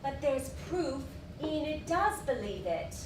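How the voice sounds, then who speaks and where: Woman acting agitated society lady, high lively voice, halting and emphatic, stage play, distant noisy room. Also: heavily acted. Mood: anxious, brooding. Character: Diana, agitated earnest society woman, indignant when accused. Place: theatre stage. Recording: roomy, distant, noisy theatre stage recording.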